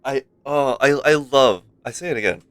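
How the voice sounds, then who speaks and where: A man's voice: mid-range voice, normal speed, no room sound. A man chatting casually on a game livestream, rambling and playful. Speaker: variety game streamer. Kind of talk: casual livestream chat and commentary. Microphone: close streaming microphone. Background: faint game music.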